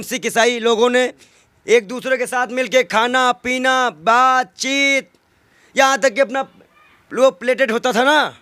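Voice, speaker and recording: Very high-pitched, indignant man. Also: pushed and bright, no echo. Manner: indignant, argumentative, rhetorical questions and emphatic repetition. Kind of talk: impassioned street political interview.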